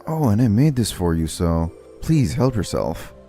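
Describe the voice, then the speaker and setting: A man's voice: on the low side, big pitch swings, measured pace, mellow, faint music behind. A man playing an overworked, self-deprecating young man in a manga dub, speaking in an earnest, polite and hesitant way. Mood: grateful, earnest. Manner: earnest, dramatic, hesitant and apologetic. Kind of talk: anime-style manga dub acting with first-person narration.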